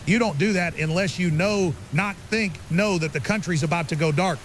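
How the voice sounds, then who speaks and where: A man's voice: sits high, normal speed, close to an everyday voice. A man playing a grim, urgent conspiracy theorist as a guest on an apocalypse podcast drama, emphatic and ominous in clipped, certain statements. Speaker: interview guest. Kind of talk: fictional apocalypse podcast interview. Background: rain and faint hiss.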